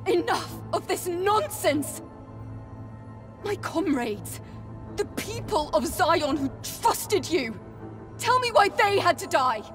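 A young female android warrior who feels betrayed and demands answers. Her high voice is intense and confrontational, set slightly back from the mic with music clearly behind it, in a dramatic game cutscene. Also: big pitch swings, slow, distant mic, full character voice. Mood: furious.

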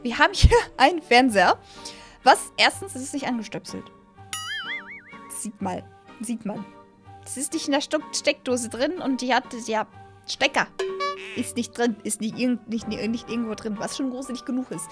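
Hohe, aufgeregte junge Frauenstimme. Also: female voice, on the high side, normal speed, music in the background. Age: young adult. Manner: excitable, repeats phrases, stumbles over words. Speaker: gaming video host. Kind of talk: casual gameplay commentary. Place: small room at a gaming setup, indoors. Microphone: close studio mic on boom arm.

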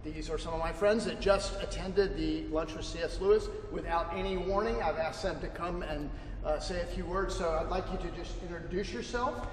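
A man's voice: fairly high pitch, normal speed, hardly any pauses, recorded from a distance. A middle-aged man, a chaplain, introducing students in a friendly, hesitant way with many fillers. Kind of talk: hosting informal introductions. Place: large chapel with stone walls, indoors. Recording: roomy chapel reverb, faint hiss.

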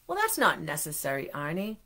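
A woman's voice: low voice, wildly swooping pitch, a little fast, pushed and bright, pitched below the performer's own voice, heavily acted. A woman voicing a kindly, apologetic man who wants a companion, in a children's storybook read-aloud. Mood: sad, apologetic.

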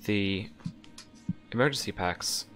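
A man voicing a calm, polite spaceship computer in a tabletop game, formal and deliberate. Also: lowish voice, faint music behind. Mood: informative, formal.

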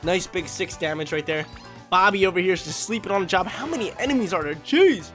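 Young male let's-play commentator, a bit hoarse, fast and chatty, somewhat high voice, headset mic in a room. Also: lively intonation, fairly bright tone. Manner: hyped, chatty, slangy. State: slightly hoarse from a sore throat. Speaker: gaming YouTuber. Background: faint game music.

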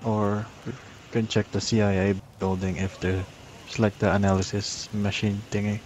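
Male roleplay gamer, lowish flat voice, casual in-character talk on voice chat with some noise. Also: normal speed. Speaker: roleplay gamer playing a survivor. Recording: noisy gaming voice chat.

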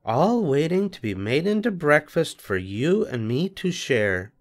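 An older man reading a storybook aloud, voicing a patient, kind grown male rabbit in a soft, soothing bedtime tone. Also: fairly high pitch, sing-song, a little slow, pitched above the performer's own voice.

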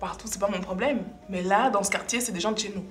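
A woman's voice: medium pitch, quick pace, lightly acted. An actress playing a blunt, scolding housewife in her thirties who challenges others in naturalistic film dialogue. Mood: challenging. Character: blunt, scolding housewife impatient with intellectual talk. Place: dining room at a dinner table. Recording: roomy interior with music behind.

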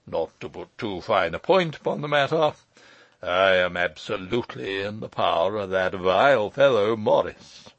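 Pompous old gentleman, played by a male reader: fussy, pedantic, aggrieved, lively pitch swings, dry close sound. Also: dry recording, muffled recording, exaggerated voice. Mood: grave, fussy. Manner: pedantic, formal, long-winded, hesitating with ums.

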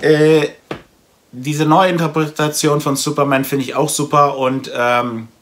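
Higher-pitched man chatting casually. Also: level tone, a little slow, fairly bright tone. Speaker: comic book vlogger. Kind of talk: casual chat to camera, reviewing a comic haul. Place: small room at home with packed shelves, indoors.

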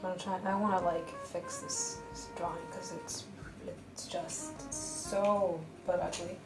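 A woman chatting in a casual voice-over while drawing, with a flat, monotonous, blunt way of talking full of fillers. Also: lowish voice, slow. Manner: flat, monotonous speech pattern; blunt and rambling, many fillers. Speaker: art vlogger. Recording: roomy voice over background music.

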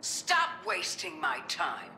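An actress playing a commanding, suspicious intelligence director in a video game, with a blunt, impatient, authoritative delivery. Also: female voice, sits low, wildly swooping pitch, takes it slowly, bright, forceful tone, echoey room, faint music behind, full character voice. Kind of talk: dramatic video game voice acting.

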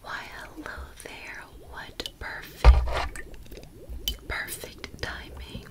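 A woman whispering as a sly, delighted potion-brewing witch in an ASMR roleplay, savoring and repeating her words in a breathy voice. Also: extremely slow. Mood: sly, pleased. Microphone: close desktop condenser microphone.

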